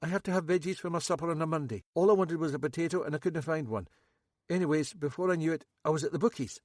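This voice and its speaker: A man's voice: fairly high pitch, fast, no room sound, clean studio sound, pitched above the performer's own voice, close to an everyday voice. Man voicing a jittery Scottish lad. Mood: nervous. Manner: excitable, rambling, self-pitying. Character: jittery battered young man, anxious.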